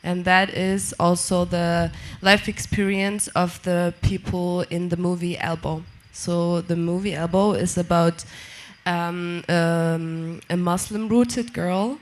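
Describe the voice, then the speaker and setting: A woman from Germany with a German accent, presenting at an event in a halting way with pauses between short phrases. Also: on the low side. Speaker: film actor presenting a film. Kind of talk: spoken presentation at a festival event.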